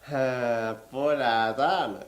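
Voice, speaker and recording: A man's voice: medium pitch, very slow. An actor playing a stern elderly father and family patriarch in a radio drama, commanding, with a southern Kerala Malayalam accent. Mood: commanding anger. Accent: Kerala Malayalam, southern Travancore. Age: older. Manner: commanding, peremptory. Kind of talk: mid-century Indian radio drama acting. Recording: roomy, echoing radio studio sound.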